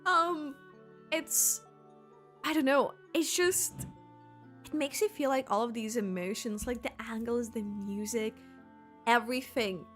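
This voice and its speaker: A woman reacts casually to a game on her livestream. Her voice is bright and fairly high, and she talks slowly in a chatty, expressive, halting way. Game music plays underneath.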